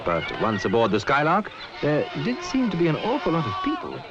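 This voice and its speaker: A man's voice: a little high, wildly swooping pitch, fairly quick. A British man narrating a 1970s public information film, telling a story in an instructive way. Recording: muffled, noisy 1970s film soundtrack.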